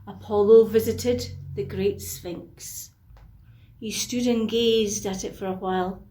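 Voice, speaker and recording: Slow, expressive woman telling bedtime stories. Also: mid-range voice. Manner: expressive, deliberate, with asides to young listeners. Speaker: bedtime storyteller. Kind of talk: children's story narration. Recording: echoey, reverberant room.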